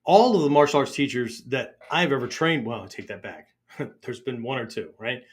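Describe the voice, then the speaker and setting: A man's voice: medium pitch, animated, normal speed. A middle-aged man from Pennsylvania hosting a podcast about martial arts, talking in a loose casual monologue that keeps checking in with "right?" and restarting his sentences. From Pennsylvania, United States.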